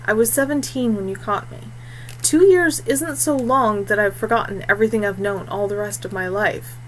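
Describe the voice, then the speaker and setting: A calm young woman of the forest, voiced by a female narrator speaks in a storybook with patient, quiet assurance. Her pitch is medium, and noise sits behind the voice. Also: normal speed, natural voice, poor audio.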